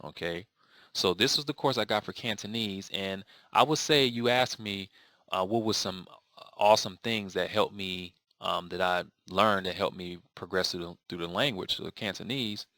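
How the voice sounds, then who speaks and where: A man with a very low voice that still rings bright and forceful talks through a viewer's question in a loose, rambling way. He restarts his sentences and leans on fillers as he explains. The sound is dry and close, with no room around it. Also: moderate pace, no echo. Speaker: language learning vlogger. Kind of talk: casual spoken video answer.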